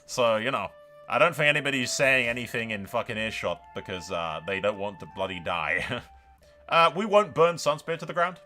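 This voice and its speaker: A man's voice: medium pitch, very animated, normal speed, pushed and bright, faint music behind. A man hosting a gaming video, giving casual, improvised commentary that drifts into dramatic storytelling, sweary and speaking straight to viewers.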